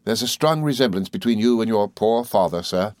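Man voicing a timid middle-aged country doctor, meek, slow, stammering, deferential, dry close sound. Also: lowish voice, expressive, darkish tone, no echo, close to an everyday voice. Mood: meek, fluttered. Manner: slow, apologetic, hesitant, with deferential sirs.

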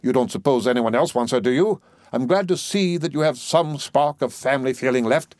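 A man voicing an imperious, excitable professor in a play reading, declamatory and overbearing. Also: medium pitch, fairly quick, no echo, studio quality, voice pushed up, close to an everyday voice. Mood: brisk, mocking. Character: imperious, excitable male professor, scornful and bossy.

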